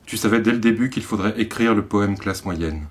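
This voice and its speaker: Low-voiced Frenchman reading poetry. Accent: French from France. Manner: measured, short phrases with deliberate pauses. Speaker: poet reading own work.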